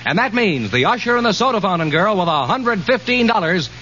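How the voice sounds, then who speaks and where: A man's voice: sits high, lively intonation, brisk, pushed and bright, a little hiss or hum. A man announcing a quiz show in the style of 1940s radio. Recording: dull old 1940s radio recording.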